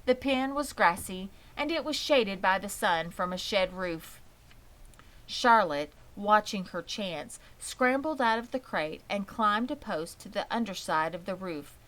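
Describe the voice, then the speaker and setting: A woman's voice: mid-range voice, expressive, a little slow, a bit bright, poor audio. A woman reading a children's story aloud as scripted narration. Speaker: audiobook reader.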